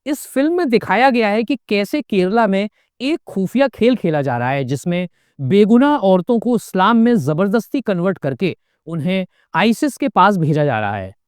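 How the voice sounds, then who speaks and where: A man's voice: sits high, big pitch swings, moderate pace, smooth, dry recording, studio quality. A man narrating a scripted political commentary, pointed and emphatic, with sarcastic asides. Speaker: political video essayist.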